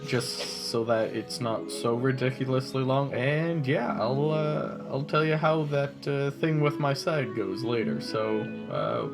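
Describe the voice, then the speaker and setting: A woman hosting a gaming video with casual commentary, talking in a halting, informal way. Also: extremely low pitch. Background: music behind the voice.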